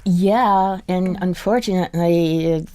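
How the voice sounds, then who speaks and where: A woman, an actor, speaking gravely and haltingly as she reflects in a panel discussion. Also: on the low side, dark tone, dry recording.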